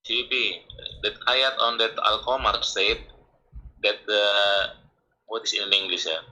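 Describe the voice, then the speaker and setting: A man calling into a religious debate, hesitant and halting, searching for words between many pauses. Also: on the low side, bright, forceful tone, poor audio.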